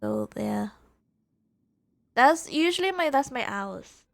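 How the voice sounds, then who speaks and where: A woman livestreamer chatting casually during a game, halting and often rephrasing herself. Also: fairly high pitch, lively intonation, gravelly. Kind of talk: casual gaming livestream chat. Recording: roomy, music under the voice.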